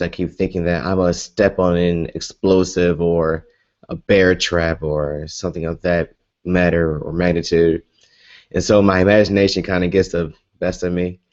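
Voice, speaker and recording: A man's voice: low voice, darkish tone, dull audio. A young American man chatting casually in a live Q&A vlog, rambling with many fillers and restarts. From United States. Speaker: vlogger.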